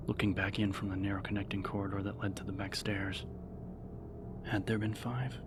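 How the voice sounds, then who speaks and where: A man reading a novel as an audiobook narrator, in a measured, serious, dramatic style. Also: on the low side, background noise. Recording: narration over background music.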